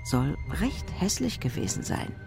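A woman's voice: deep voice, sing-song, measured pace, gravelly, over music, heavily acted. An actress playing a middle-aged, disapproving and gossipy mother in a radio crime drama.

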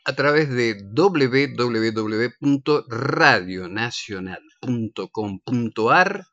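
A man's voice: mid-range voice, slow, bright, forceful tone, dry recording, lo-fi sound. An Argentine man hosting a radio show with casual, teasing banter. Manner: jokey, teasing. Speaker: radio host and director. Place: radio studio.

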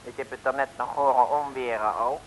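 An actor playing an amiable, hard-of-hearing Dutch fishmonger in a comic radio serial, with oblivious, folksy sales patter. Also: male voice, mid-range voice, fairly even intonation, a little fast, natural voice, low-quality recording. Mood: mildly indignant. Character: hard-of-hearing, amiable male shopkeeper selling fish. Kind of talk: 1950s-60s comic radio drama acting. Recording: hissy old radio recording.

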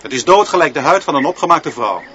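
A man's voice: fairly high pitch, expressive, fast, bright, forceful tone, slight background noise. A man acting as an opinionated, pedantic schoolteacher in a radio drama, lecturing in a declamatory way. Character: opinionated, pedantic male schoolteacher leading pupils. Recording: dull recording with music behind.

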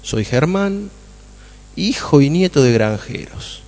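Excitable Argentine farmer in reverberant hall. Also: male voice, on the high side, slow. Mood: musing, determined. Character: Germán, enthusiastic dreamy male farmer from a farming family. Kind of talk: radio play acting.

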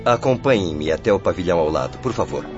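An actor with a Brazilian accent playing a courteous, compassionate spirit attendant with a formal manner in a radio drama. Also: male voice, low voice, big pitch swings, quick pace. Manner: formal, polite. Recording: dull audio with music.

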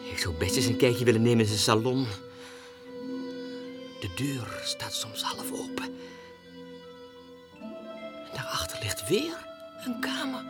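An actor playing a lovesick, deluded clerk sliding into madness, in a theatrical radio monologue that shifts into breathy whispers. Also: male voice, a little high, heavily acted. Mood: confiding. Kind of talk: 1960s radio drama monologue, diary read aloud. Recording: 1960s radio recording with music.

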